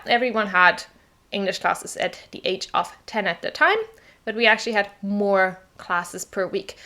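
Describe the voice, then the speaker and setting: A woman who grew up in southern Germany speaks English with a clear German accent. Her voice sits at an ordinary middle pitch, and she speaks in a chatty, personal way. She laughs easily and keeps checking in with her viewers as she shares her story. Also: sharp tone. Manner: chatty, laughs often, tag questions like okay. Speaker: English teacher.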